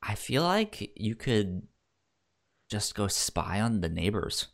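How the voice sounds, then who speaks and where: A man co-hosting a podcast in casual chat, talking haltingly with frequent stutters and repeated syllables. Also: fairly low pitch, big pitch swings, creaky. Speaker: podcast co-host discussing a film.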